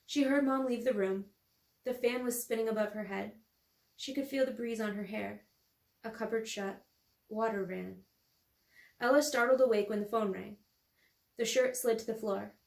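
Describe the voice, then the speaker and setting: A woman's voice: a little high, moderate pace, gravelly, pauses often. A woman, an author, reading her novel aloud as scripted story narration in an echoey room.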